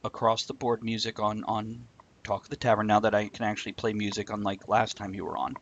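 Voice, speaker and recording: A man's voice: fairly low pitch, little pitch movement, fairly quick, vocal fry, highs cut off, slight background noise. A man hosting a live-streamed talk show, chatting casually, explaining ideas eagerly and greeting viewers. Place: home streaming setup.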